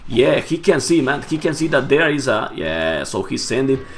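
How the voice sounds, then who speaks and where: A hoarse, Spanish-native man casting a live strategy game match, getting louder and repeating himself at big plays. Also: mid-range voice, sing-song, normal speed, slight background noise. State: hoarse, losing his voice. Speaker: esports caster. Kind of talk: excited live game commentary.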